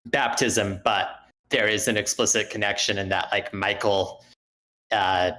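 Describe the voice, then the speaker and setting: Guy with a lowish voice giving notes on a script, kinda hesitant, rewording himself as he goes. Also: steady tone, measured pace, pushed and bright, low-quality recording. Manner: hesitant, circling back to rephrase points. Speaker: participant giving script feedback. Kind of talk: spoken critique in an online group discussion.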